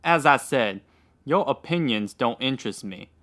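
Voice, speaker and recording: A man's voice: mid-range voice, lively intonation, normal speed, a bit bright, natural voice. A man playing a greedy, hard-hearted land grabber in an old-time radio western, curt, stern and unyielding. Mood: cold, irritated.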